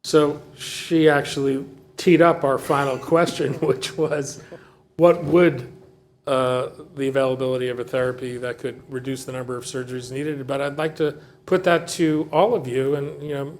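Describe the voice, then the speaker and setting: A man's voice: on the high side, fairly even intonation, moderate pace. A man moderating a panel, calling for audience questions with short friendly prompts.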